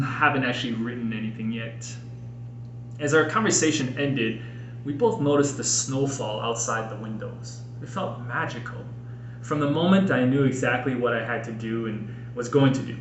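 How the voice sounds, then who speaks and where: An American man reads his own personal writing aloud in an earnest, expressive way with deliberate phrasing. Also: medium pitch. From Minnesota, United States. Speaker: podcast host and author reading own book. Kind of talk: audiobook-style personal reading. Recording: roomy echo with faint background noise.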